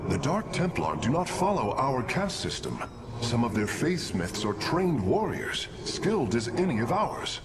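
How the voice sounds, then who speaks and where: A man playing a dutiful, measured alien officer in a game, formal and respectful as he reports. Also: mid-range voice, sing-song. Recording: game audio with vehicle-like background noise.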